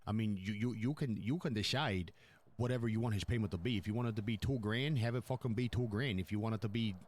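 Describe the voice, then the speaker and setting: Guy roleplaying a mob boss in a gta style game, lowish voice, blunt, swears a lot, bossy. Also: quick pace, darkish tone, close to an everyday voice. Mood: blunt, decisive. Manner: blunt, profane, repetitive, giving orders. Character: blunt, commanding male mafia boss in New York. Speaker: roleplay player. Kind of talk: improvised in-character crime roleplay.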